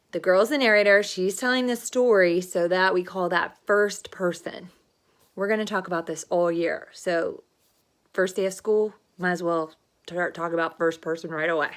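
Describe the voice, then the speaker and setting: A woman schoolteacher reading a picture book aloud with animated, dramatic emphasis and encouraging asides to her pupils. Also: fairly low pitch, moderate pace. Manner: encouraging, checks understanding with questions. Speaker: elementary school teacher.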